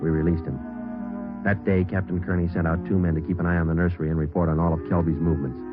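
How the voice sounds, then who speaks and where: A man's voice: low voice, flat delivery, fast, natural voice. An actor playing a terse, businesslike male police sergeant in an old-time radio drama, clipped and matter-of-fact. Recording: narrow-band vintage radio recording with music.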